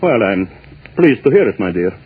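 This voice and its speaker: An actor playing an older, jealous maestro husband in a 1940s radio drama, formal, curt and strained. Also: male voice, lowish voice, very animated, moderate pace, slightly rough, full character voice. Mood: strained politeness. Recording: tinny 1940s radio recording.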